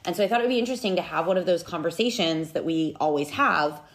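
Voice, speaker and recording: A woman's voice: fairly low pitch, expressive, fast. A woman in her thirties, a podcast host and nutrition coach, chatting casually and upbeat with her best friend. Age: 30s.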